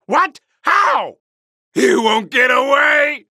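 A sadistic wolf-man fighter for a video game, sneering and taunting in a harsh, gravelly shout. The pitch is high and swings wildly, and the tone is piercing and forceful. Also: lively intonation, very slow, no echo, clean studio sound, exaggerated voice. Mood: agitated, aggressive. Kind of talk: video game battle voice lines.

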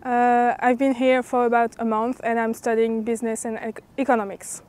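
A woman's voice: a little high, level tone, moderate pace, darkish tone. A young woman from France, a student speaking English as a second language, answering hesitantly with many fillers outdoors. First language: French. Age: young adult. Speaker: student interviewee. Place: outdoor park.

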